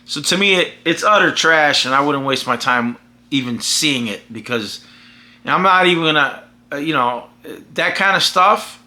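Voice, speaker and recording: Forceful, opinionated American man vlogging. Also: on the high side, lively intonation, normal speed. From United States. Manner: emphatic, rambling with frequent restarts. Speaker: vlogger and podcaster. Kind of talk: casual opinionated monologue.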